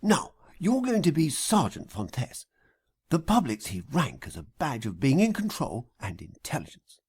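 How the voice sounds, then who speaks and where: Man acting a husky, earnest, scheming male coach. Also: a little high, huge pitch swings, unhurried, heavily acted. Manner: earnest, instructing. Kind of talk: acted audiobook dialogue.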